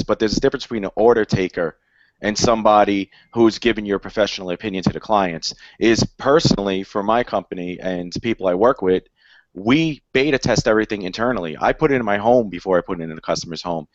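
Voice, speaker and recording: A man's voice: fairly low pitch, fast talker, no room sound. A man in his thirties, an integrator guest, giving firm opinions in a casual panel chat over a headset. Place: home room with guitars. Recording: dry, dull headset audio.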